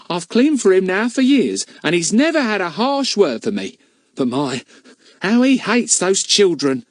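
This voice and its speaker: A cheerful, chatty old cleaning woman, gossiping in folksy, ungrammatical talk, as a male storyteller puts her on. Her voice swoops up high and lively. The sound is close and dry. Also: no room sound, full character voice. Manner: chatty, folksy, dropped grammar.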